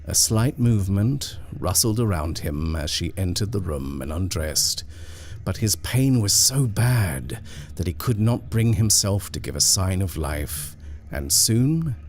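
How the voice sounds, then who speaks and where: A man reading a ghost story aloud in a measured, hushed storytelling manner. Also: low-pitched, expressive. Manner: slow, measured, hushed at tense moments. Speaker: narrator. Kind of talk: scripted horror story reading.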